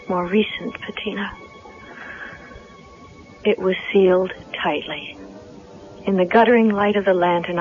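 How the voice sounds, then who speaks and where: A muffled vintage radio recording with music behind. An actress plays a determined yet anxious young woman in a radio drama. Her delivery is measured, earnest and theatrical, in a middle-pitched voice. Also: lightly acted.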